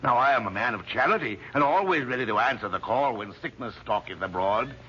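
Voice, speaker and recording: An actor playing a portly, boastful older physician of ancient Bethlehem in an old-time radio drama, grandiloquent and long-winded in archaic English. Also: male voice, medium pitch, animated, a little fast, sharp tone, a little hiss or hum. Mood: excited, self-important. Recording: dull old radio recording.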